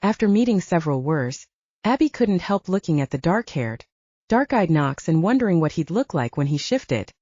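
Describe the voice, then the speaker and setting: A woman's voice: on the low side, very animated, normal speed, dark tone, dry recording, dull audio. A woman reading fiction aloud as a scripted audiobook narration.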